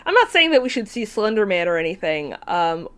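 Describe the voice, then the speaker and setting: A woman from Spain chatting casually as a podcast guest, playful, animated and jokey, happy to swear. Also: medium pitch, a little fast, clear, resonant tone. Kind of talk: casual chat.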